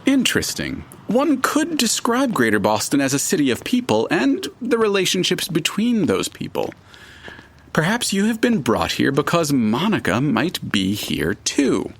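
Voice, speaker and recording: Pompous omnipresent narrator spirit for audio drama: fussy, precise, highish male voice with wildly animated pitch. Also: a little slow, gravelly, slightly rough, heavily acted. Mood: knowing, aloof. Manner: pompous, precise, faintly hesitant, florid wording. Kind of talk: full-cast audio drama acting.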